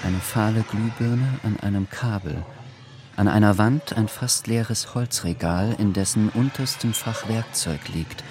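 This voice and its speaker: Low-voiced male radio drama narrator. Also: background noise. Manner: measured, literary storytelling.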